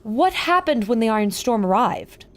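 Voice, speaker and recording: High-voiced adventurous reporter. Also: female voice, big pitch swings, normal speed. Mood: concerned. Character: eager female adventurer-reporter narrating wonders to listeners. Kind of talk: audio drama acting.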